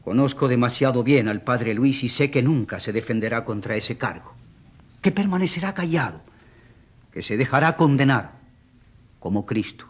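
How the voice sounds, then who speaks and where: An actor playing a stubborn man from the provinces who pleads for an accused priest in a radio drama, halting and insistent. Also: medium pitch, expressive, breathy edge. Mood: earnest, insistent.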